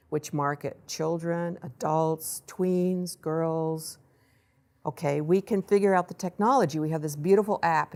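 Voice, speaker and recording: A woman presenting a startup case study to an audience in a lively storytelling way, acting out what people said. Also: low voice. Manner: lively storytelling, acts out quoted voices. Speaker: accelerator program director giving a presentation.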